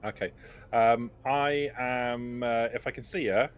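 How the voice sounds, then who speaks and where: A man's voice: mid-range voice, steady tone, a little slow, clear, resonant tone. A man talking casually as a player in a tabletop role-playing game.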